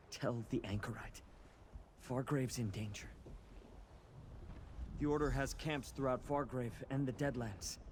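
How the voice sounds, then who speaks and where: A man's voice: mid-range voice, darkish tone, close to an everyday voice. Exasperated cult informant, acted by a man, over noisy ambience. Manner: exasperated, wary, grumbling. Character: bitter, anxious male cult initiate turned informant. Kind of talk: video game dialogue. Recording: clear background noise of game ambience.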